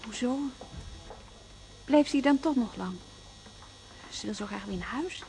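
A timid, flustered young hospital maid in a radio drama. Her voice is very high yet mellow, hesitant and apologetic. Faint noise sits in the recording. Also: animated, measured pace, creaky. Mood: worried. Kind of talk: Dutch radio play acting.

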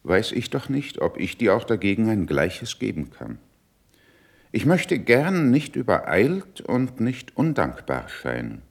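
Casting: sceptical, superior statesman in verse radio drama; fairly low voice, deliberate yet widely inflected. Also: very animated, vocal fry, full character voice. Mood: reserved, cautious. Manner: measured, dry, deliberate, admonishing. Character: cool, worldly, cautious older statesman, sceptical and superior. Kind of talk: classical German verse radio drama acting.

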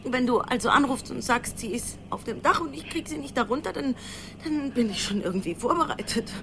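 An actress in a radio play playing an emotional, exasperated but caring friend who speaks haltingly, scolding and soothing. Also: female voice, sits high, lively intonation, vocal fry, background noise. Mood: upset.

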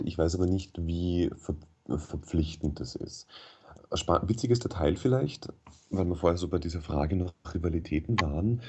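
A man speaking German as his first language, a radio interview guest who explains things in a measured way with frequent hesitations. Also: deep voice, mellow, slight background noise. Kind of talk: thoughtful radio interview answers.

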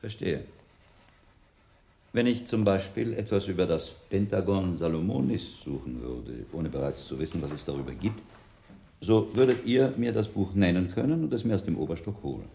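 A low, creaky male voice for a learned, inquisitive monk in a radio drama. He is calm and courteous, probing with polite questions in a dark, mellow tone. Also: moderate pace. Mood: curious, probing. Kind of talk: radio play dialogue.

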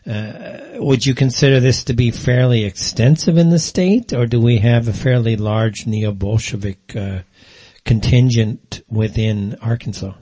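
A man hosting a political talk radio interview, putting wordy, halting questions to a guest. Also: mid-range voice, warm tone, breathy edge. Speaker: radio show host, interviewer.

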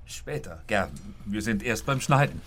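A man's voice: fairly low pitch, expressive, a little slow, a bit bright, a little hiss or hum. An actor in a German radio drama playing a composed, courteous film director, polite and placating, who instructs with devotion to his craft.

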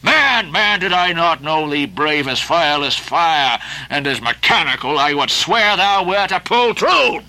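A man voicing an old, obsessed sea captain, declaiming archaic lines with booming passion. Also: animated, a little slow, few pauses, bright, forceful tone, exaggerated voice, poor audio. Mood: scornful. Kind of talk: audiobook character voicing.

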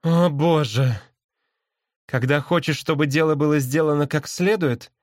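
A man voicing an exasperated, sharp-tongued woman in an audiobook, with impatient, sarcastic exclamations. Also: a little high, measured pace, dry recording, studio quality, pitched above the performer's own voice, lightly acted. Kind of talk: dramatized audiobook character.

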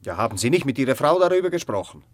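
Measured, pedantic male official. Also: mid-range voice, big pitch swings. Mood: probing, formal. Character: formal, probing official with an analytical bent. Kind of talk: radio drama acting.

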